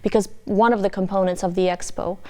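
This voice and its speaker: A woman from Poland, Polish her first language, talks as a university guest in a measured, explanatory way. Her voice is smooth and resonant, sitting at a middle pitch for a woman. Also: steady tone, darkish tone. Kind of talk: interview answers.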